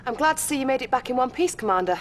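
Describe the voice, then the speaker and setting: An actress playing a young female military officer giving brisk briefings. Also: high voice, animated, slight background noise.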